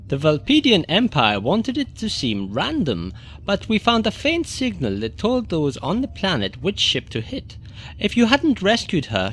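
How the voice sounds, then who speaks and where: A man's voice: sits high, a bit bright, a little hiss or hum, heavily acted. A man playing a paternal, reassuring rabbit doctor in an audio drama, with a theatrical, emphatic delivery. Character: avuncular male rabbit doctor, grandly reassuring.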